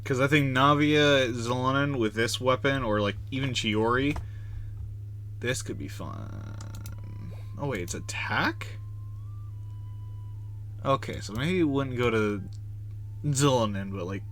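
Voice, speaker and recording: A man's voice: mid-range voice, takes it slowly, pauses often, a bit bright, slight background noise. A man live-streaming a game, chatting casually and thinking aloud in a rambling way. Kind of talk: casual livestream gameplay chat.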